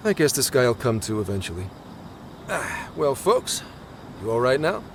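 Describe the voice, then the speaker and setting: A man's voice: medium pitch, sing-song, measured pace, a little hiss or hum. An actor playing an easygoing, flippant plainclothes policeman in a video game cutscene, confident and playfully theatrical. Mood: casual, teasing. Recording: music behind the voice.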